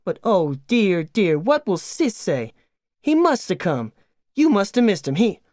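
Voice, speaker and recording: A man's voice: high voice, very animated, measured pace, darkish tone, dry recording, clean studio sound, voice pushed up. A man putting on a gushing, fretful farm wife. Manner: gushing, breathless run-on chatter. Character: fretful, excitable middle-aged farm wife.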